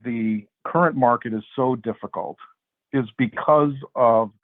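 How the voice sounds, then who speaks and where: Male finance guest, mid-pitched, measured and explanatory, on a dry, phone-like connection. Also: dry recording. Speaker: interview guest, macro research strategist and investment adviser. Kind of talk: conversational explanation of markets on a podcast. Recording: narrow phone-like sound, dry.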